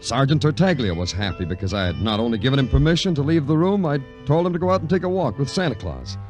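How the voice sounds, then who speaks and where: A man acts a sardonic, world-weary police detective in a 1950s radio crime drama. His medium-pitched voice moves widely through florid, deadpan lines, with music clearly behind. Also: fast, close to an everyday voice. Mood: wry, musing.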